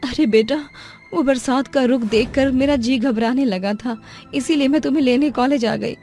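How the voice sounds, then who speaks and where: A woman's voice: sits high, normal speed, a little mellow, pitched above the performer's own voice. A woman voicing a poor, long-suffering, loving mother in a cartoon story, with a pleading manner. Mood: apologetic. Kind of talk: cartoon voice acting. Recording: music under the voice.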